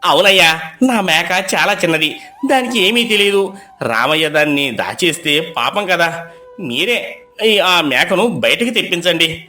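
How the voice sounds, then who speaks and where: A man voicing a fussy villager pleading about his lost goat in a high, whiny voice. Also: lively intonation, measured pace, bright, forceful tone, soft background music.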